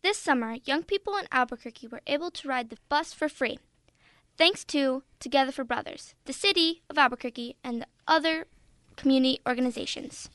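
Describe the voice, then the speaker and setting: A woman's voice: high-pitched, brisk, a bit bright, no echo. A woman co-hosting a radio show, reading scripted segment introductions. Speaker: radio host.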